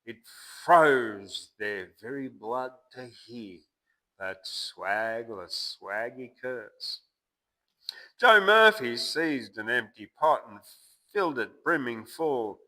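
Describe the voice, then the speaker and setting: Aussie bloke doing a dramatic bush poem, slow delivery, bright punchy voice, big loud-to-quiet swings. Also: male voice, medium pitch. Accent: Australian. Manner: theatrical, emphatic swings between loud and hushed. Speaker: poetry reciter and channel host. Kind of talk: dramatic bush ballad recital.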